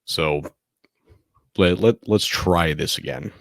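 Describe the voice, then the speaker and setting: Very deep-voiced man speaking softly. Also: slow pace, creaky, no echo. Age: 30s. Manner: speaking softly and close to the mic, laid-back and candid. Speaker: podcast host. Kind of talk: casual late-night solo podcast. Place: home at night. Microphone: close to the microphone.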